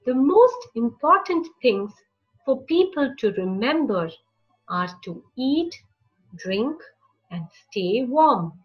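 A high-voiced woman reads a storybook aloud to young children at a slow, unhurried pace. Her intonation swings expressively from phrase to phrase. The recording is slightly dull, with music playing behind her. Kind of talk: read-aloud picture book narration for young children.

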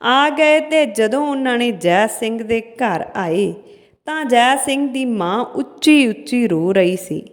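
A woman narrating a folk tale as a storyteller, in a measured, halting delivery. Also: a little high, animated. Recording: long hall-like reverb.

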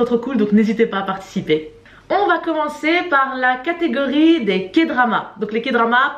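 High-voiced young Frenchwoman vlogging, roomy sound. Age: young adult. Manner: informal, expressive, emphatic repetition. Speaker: YouTube vlogger presenting to camera. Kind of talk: casual chatty vlog. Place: small room at home. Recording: roomy indoor echo.

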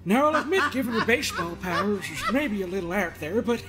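Smug middle-aged cosmic therapist man, played by a woman, mock-stern and folksy, very animated pitch, comedic game dub over prominent music. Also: brisk, close to an everyday voice. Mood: mock-clinical. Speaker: fan dub voice performer. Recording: music under the voice.

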